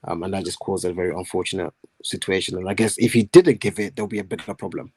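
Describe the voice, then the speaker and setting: Hoarse low man with a cold. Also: darkish tone, no room sound. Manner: thoughtful, measured analysis with fillers. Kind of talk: casual live-stream chat.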